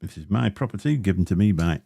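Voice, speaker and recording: A very low, gravelly man chats into a close studio mic in a small home room. His tone is dark and mellow, and he rambles along with plenty of ums and grumbling asides. The sound is dry and close. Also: expressive, fast, dry recording. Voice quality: deep voice. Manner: rambling and chatty with many fillers, grumbling asides. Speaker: livestream host. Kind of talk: casual livestream chat while unboxing gear. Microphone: dynamic studio mic close up.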